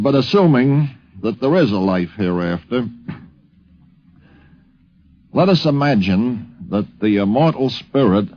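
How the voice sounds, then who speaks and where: A low-voiced man tells a story from memory, measured and unhurried, breaking his sentences into short pieces. The sound is a dull, vintage fifties radio recording. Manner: measured, deliberate, pausing mid-sentence. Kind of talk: anecdote told from memory.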